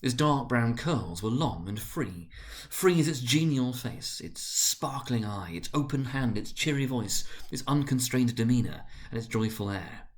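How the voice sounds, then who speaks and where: A man reading a story aloud as narrator, in a dramatic literary style with theatrical, deliberate phrasing. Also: mid-range voice, lively intonation. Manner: theatrical, deliberate phrasing with emphatic swells and hushed drops.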